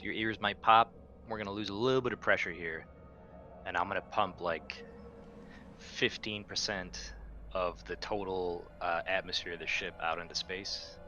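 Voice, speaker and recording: A man's voice: low-pitched, bright, forceful tone. A man playing a tabletop roleplaying game, chatting casually in and out of character with a take-charge manner.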